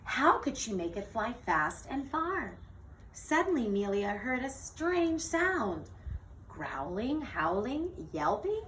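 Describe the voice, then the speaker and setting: A woman teacher reading a children's picture book aloud in an expressive, theatrical storytelling manner. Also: fairly high pitch, slow. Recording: distant mic with faint noise.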